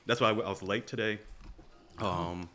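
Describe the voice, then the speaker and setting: Male co-host, lowish voice, informal chat with slang and repeated little phrases. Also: normal speed, a bit bright. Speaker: podcast co-host. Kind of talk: casual chat.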